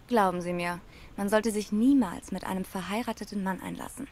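An actress voices a poised young cat woman in a noir video game. She is sardonic and cool, quick with a barb. Light ambient noise sits behind her. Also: medium pitch, darkish tone, close to an everyday voice. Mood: sardonic, guarded. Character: poised, sharp-tongued young female cat tennis star. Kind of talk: cinematic noir game acting.

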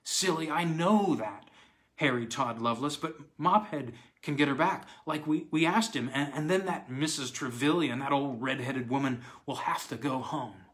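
A man's voice: mid-range voice, moderate pace, fairly bright tone, close to an everyday voice. A man voicing a secretive, fierce young girl who whispers and pleads. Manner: whispery, pleading, tearful at times.

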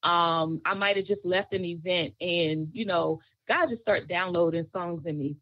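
A woman guest singer, chatting in an interview in a relaxed, storytelling way, laughing often. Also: fairly low pitch, normal speed, no room sound, dull audio. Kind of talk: casual interview chat.

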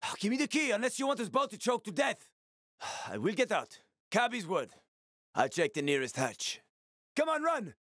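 A man's voice: high voice, sing-song, moderate pace, pauses often, fairly bright tone, no echo, clean studio sound, heavily acted. An actor playing a wry, streetwise male survivor and former cab driver in a video game, colloquial and sweary, with an urgent manner. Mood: alarmed, shouting. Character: wry, streetwise male ex-cabbie survivor, fatherly yet shifty. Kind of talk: video game character dialogue.

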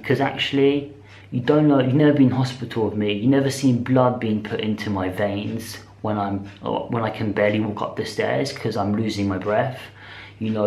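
A British man in his twenties talking casually to camera in a rambling, slangy vlog style, speaking in animated bursts. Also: on the low side. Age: 20s. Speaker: vlogger. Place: small indoor room with bare walls. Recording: echoey, roomy sound.

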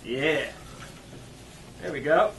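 A man's voice: a little high, very slow, noisy background. A man in his thirties hosting a comedic cooking show, upbeat and agreeable, often laughing. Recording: echoey room, distant mic.

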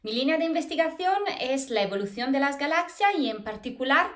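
A high, animated woman's voice with an Italian accent, explaining science to students with plenty of expression. She is a researcher in her thirties, speaking in a small plain room to a camera a few feet off. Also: a little fast. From Italy. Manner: expressive, lively, gestures while explaining. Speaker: postdoctoral astrophysics researcher. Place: small plain indoor room against a wall. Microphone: camera a few feet away, head to waist framing.

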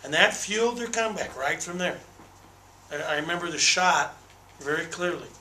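An older man coaching a college team speaks into a table mic with a bright, forceful tone. His answers are candid and detailed, and he doubles back on words as he restarts. The small press room leaves a roomy echo around him. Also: fairly high pitch, animated, moderate pace, a little hiss or hum. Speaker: basketball head coach. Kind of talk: postgame press conference answers. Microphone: table microphone on a desk.

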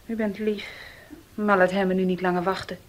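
A woman's voice: mid-range voice, flat delivery, fairly quick, slight background noise, natural voice. An actress playing Gonda, a distressed young servant woman, in a 1970s radio play. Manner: pleading, tearful.

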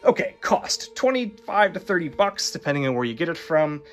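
A man giving a casual spoken book review, relaxed and chatty with lots of ums and little laughs. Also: on the high side, moderate pace, faint music behind. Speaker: book reviewer and swordsmanship instructor.

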